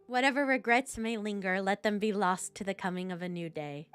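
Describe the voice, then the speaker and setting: A woman's voice: medium pitch, moderate pace, clean tone, no echo. A young woman streaming a game, reacting casually and briefly on a close mic. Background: game music.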